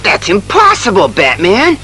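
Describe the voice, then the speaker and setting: A man's voice: high-pitched, wildly swooping pitch, measured pace, pushed and bright, slight background noise, full character voice. A man playing a flustered, folksy night guard, nervous and stammering, in a superhero audio drama. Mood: startled, nervous. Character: jittery, flustered male amusement park night guard. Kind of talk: superhero radio drama acting.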